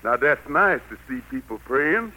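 A man playing the Lord as a gentle but stern, folksy preacher-like figure with a Southern US accent, in 1940s radio drama style. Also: mid-range voice, big pitch swings, normal speed, exaggerated voice, rough recording. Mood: wistful, gentle. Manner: folksy, admonishing. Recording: vintage 1940s radio broadcast.

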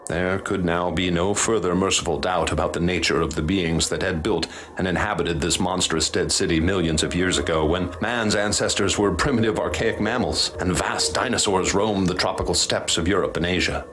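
An actor playing a haunted male professor, speaking in a formal, measured, ominous way in a radio drama. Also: low voice, steady tone, a bit bright. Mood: ominous, troubled. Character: grave haunted male professor recounting horrors he witnessed. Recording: clear background noise and ambience.

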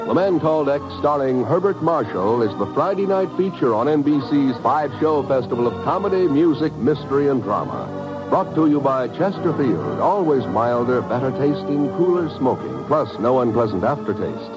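Old time radio announcer guy, polished and emphatic, big swings in pitch, bit of music. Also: sing-song, moderate pace, dull audio. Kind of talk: 1950s radio announcing, scripted sponsor reads.

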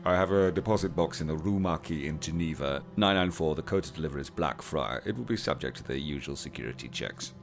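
A man's voice: rumbling low, a little fast, hardly any pauses. An actor playing an obsessive, guarded male puzzle collector in a radio play, who turns anxious and stammering.

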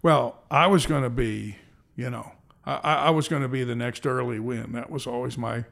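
An older man with a gravelly, rough-edged voice, speaking at an ordinary pitch. He tells long stories that wander and correct themselves as he goes, and he slips into playful voices now and then. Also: steady tone, moderate pace, a bit bright. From American Southwest. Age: 60s. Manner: rambling, self-correcting anecdotes with playful voices. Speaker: interview guest. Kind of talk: reflective storytelling.

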